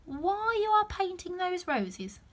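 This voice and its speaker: A woman's voice: very high-pitched, big pitch swings, takes it slowly, a bit bright, voice pushed up, heavily acted. A woman voicing a polite, timid but curious little girl in a storybook, light and well-mannered.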